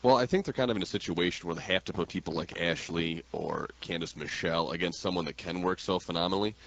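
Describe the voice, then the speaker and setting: A man's voice: low voice, fast talker, creaky. A man hosting a casual radio talk show about wrestling, heard on lo-fi audio. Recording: dull lo-fi radio audio, faint noise.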